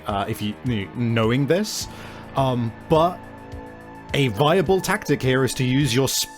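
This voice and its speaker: A man acting as game master, narrating and explaining rules in a halting, explanatory way, repeating words for emphasis. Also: medium pitch. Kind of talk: improvised tabletop game narration and rules talk.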